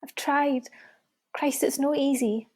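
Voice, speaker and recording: A woman's voice: high voice, steady tone, takes it slowly, darkish tone. A woman playing a weary, defensive young client in a naturalistic radio play.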